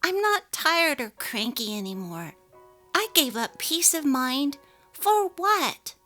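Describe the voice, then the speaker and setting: A woman's voice: high voice, very animated, slow, sharp tone, voice pushed up, heavily acted. A woman voicing an earnest, resolute young wife and mother in a dramatically read story, with emphatic, heartfelt delivery. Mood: content. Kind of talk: dramatic reading of a text-message story. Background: music.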